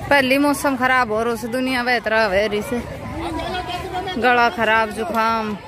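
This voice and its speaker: A woman's voice: fairly high pitch, fairly even intonation, measured pace. A woman chatting casually while shopping and greeting neighbours in a village market and lanes, filmed on a phone. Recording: noisy outdoor phone recording with crowd. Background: market crowd and street noise.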